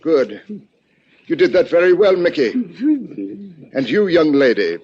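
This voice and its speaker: An actor playing a smooth, menacing gunman in 1950s radio drama, speaking with formal, mock-courteous phrases and repeating himself. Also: on the high side, big pitch swings, unhurried, a little mellow. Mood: mock-polite menace. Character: smooth, menacing gunman, mock-polite, talks to silent henchman. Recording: muffled, noisy old radio recording.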